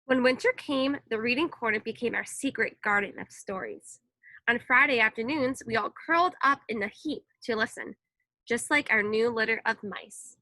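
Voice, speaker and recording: A woman reading a children's story aloud in an expressive narrating style. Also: fairly high pitch, moderate pace, no room sound, rough recording. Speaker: storybook reader.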